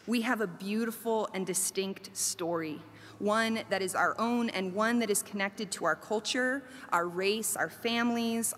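A woman's voice: medium pitch, fairly bright tone. Earnest woman speaking in a reverberant space. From Korea. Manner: earnest, measured, with emphatic lists. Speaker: professor. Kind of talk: reflective prepared talk.

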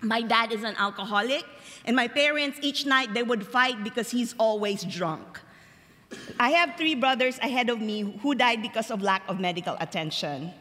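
A woman's voice: on the high side, animated, sharp tone. Young woman preaching in a hall. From Philippines. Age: young adult. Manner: conversational, laughs easily, slows for emphasis. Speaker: chapel speaker. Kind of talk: personal testimony sermon. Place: large chapel. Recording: long hall reverb.

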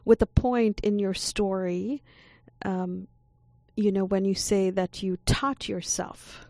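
A woman co-host asking a long, deliberate question that builds step by step. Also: medium pitch, steady tone, dark tone, no echo, studio quality. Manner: deliberate, connects ideas step by step. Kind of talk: measured interview question.

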